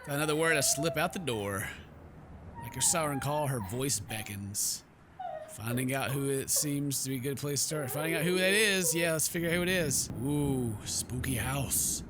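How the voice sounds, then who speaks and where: A man's voice: fairly high pitch, lively intonation, normal speed, noisy background. A man streaming a game, reading narration aloud and breaking into playful, casual asides. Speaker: gaming streamer. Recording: game music behind the voice.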